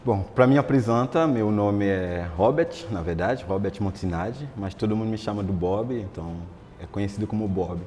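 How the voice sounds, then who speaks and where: Haitian-accented man with a low voice. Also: normal speed, mellow. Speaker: guest speaker, entrepreneur. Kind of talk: personal story at a talk show. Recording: hall reverb, faint ambient noise.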